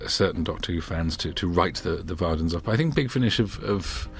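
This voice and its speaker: A man with a very low voice talks casually about writing the episode. He hesitates a lot and keeps circling back to restart his sentences. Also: expressive, fairly quick. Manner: hesitant, restarts phrases often. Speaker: writer of the episode. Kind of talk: casual behind-the-scenes chat.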